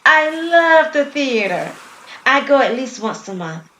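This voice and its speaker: A woman's voice: high-pitched, very animated, measured pace, bright, forceful tone, roomy sound, slight background noise, voice pushed up, exaggerated voice, low-quality recording. A woman voicing an eager, earnest young poet in an audio drama.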